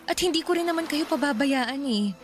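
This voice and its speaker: A woman's voice: sits high, expressive, fairly quick, over music. A woman playing a grieving young daughter in a radio drama, polite and pleading with her mother.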